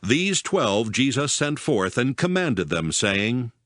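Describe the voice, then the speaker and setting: Expressive male scripture narrator, very dry sound. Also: mid-range voice, no room sound. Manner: formal, deliberate storytelling. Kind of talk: dramatized King James scripture narration.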